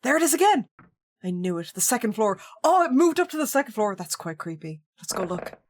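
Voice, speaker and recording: A woman's voice: fairly high pitch, very animated, a little fast, no room sound, clean studio sound. A young woman streaming herself reading a spooky visual novel, reacting animatedly and squealing at scares.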